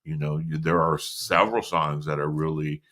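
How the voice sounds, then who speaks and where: A middle-aged man with a deep voice, co-hosting a casual podcast chat in an easygoing, rambling way. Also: level tone, moderate pace, bright, forceful tone. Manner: easygoing, rambling with fillers and restarts. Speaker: co-host.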